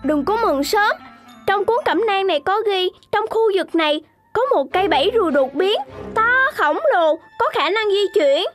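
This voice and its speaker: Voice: extremely high pitch, normal speed, a bit bright. A performer voicing a cautious little cartoon youngster, wary and prone to worry, in a childlike voice. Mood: wary, scolding. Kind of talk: animated children's cartoon acting. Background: background music.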